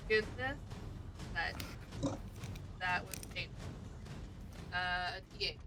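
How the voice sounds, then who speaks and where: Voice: medium pitch, takes it slowly. A tabletop game player chatting casually in a hesitant, self-deprecating way. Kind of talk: casual chat.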